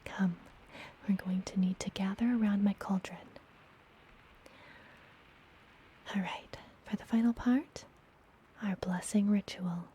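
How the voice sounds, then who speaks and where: A woman playing a friendly, mysterious witch healer in a whispered ASMR fantasy roleplay, soothing and gently teasing. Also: medium pitch, steady tone, breathy edge, low-quality recording. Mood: solemn, reassuring. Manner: soothing, unhurried, softly whispered.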